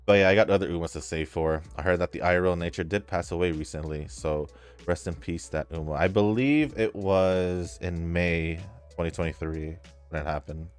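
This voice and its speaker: Low-voiced laid-back man. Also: moderate pace, clean tone. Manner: laid-back, hesitant, trailing off with fillers. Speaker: gaming YouTuber. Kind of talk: casual commentary reading viewer comments. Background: faint background music.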